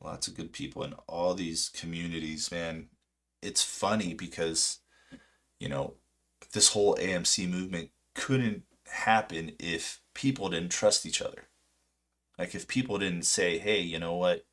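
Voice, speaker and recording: A man in his late thirties, from Poland and raised in California, chatting casually and unscripted on a livestream in a halting, self-deprecating, rambling way. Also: low voice, fairly bright tone. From Poland until age seven, then southern California. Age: late 30s. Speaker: livestream host, amateur retail trader.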